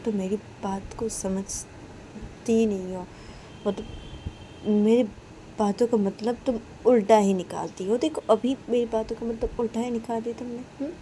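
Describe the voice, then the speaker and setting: A woman voicing an anxious young college woman who pleads and explains herself gently. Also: on the high side, steady tone, unhurried, a little hiss or hum, close to an everyday voice, poor audio. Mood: hurt.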